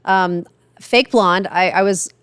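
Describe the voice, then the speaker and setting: A woman's voice: fairly low pitch, slow, a bit bright, clean tone, no room sound. A middle-aged woman interviewing a guest for a TV news segment, encouraging and full of compliments. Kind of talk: friendly interview questions.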